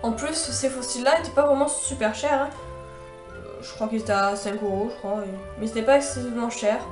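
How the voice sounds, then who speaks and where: Young woman, stuffy nasal cold voice, slightly high, chatty makeup tutorial, echoey room, faint music. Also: fairly quick. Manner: chatty, meandering, many fillers and restarts. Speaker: beauty vlogger.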